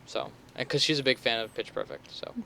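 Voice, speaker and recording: A young man co-hosting a casual podcast chat, relaxed and hedging, swearing now and then. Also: medium pitch, a little fast, vocal fry, a crowd in the background. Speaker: podcast co-host.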